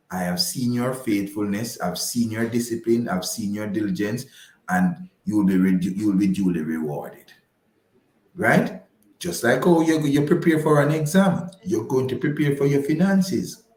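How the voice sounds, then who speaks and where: A man's voice: mid-range voice, a little mellow, echoey room, poor audio. A man with a Jamaican accent giving conversational advice as a radio guest, emphatic and didactic, repeating himself and often checking in with the listener. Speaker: guest explaining personal finance. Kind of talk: conversational explanatory radio talk.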